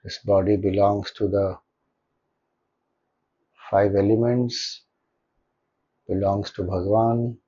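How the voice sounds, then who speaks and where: A man with an Indian accent leading a guided meditation as a spiritual teacher, speaking calmly and gently with deliberate pauses. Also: on the low side, husky, muffled recording.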